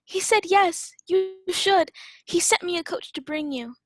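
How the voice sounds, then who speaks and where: A very high, girlish voice in a dry recording: a woman as a loving little sister in a radio play. The delivery is eager, excited and tender. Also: a little slow, dry recording. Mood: joyful, affectionate. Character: eager, affectionate little sister, a young girl.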